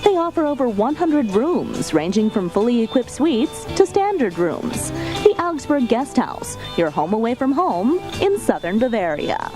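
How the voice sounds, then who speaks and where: A woman's voice: a little high, big pitch swings, measured pace. A woman reading a scripted travel advertisement on radio over music.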